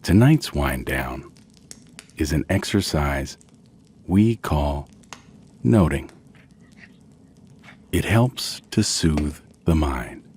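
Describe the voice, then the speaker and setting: A man gently narrating a guided sleep meditation in a soothing, measured way with long pauses. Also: very deep voice, lively intonation, slightly rough.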